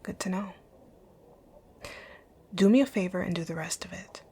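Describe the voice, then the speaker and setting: A woman playing a low, husky, domineering lady boss. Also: moderate pace, warm tone. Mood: cold, bossy. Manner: curt, commanding, breathy, often near a whisper. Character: rich, rude, dominant female boss, stern but secretly caring. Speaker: audio roleplay voice actor. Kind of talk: ASMR scripted roleplay.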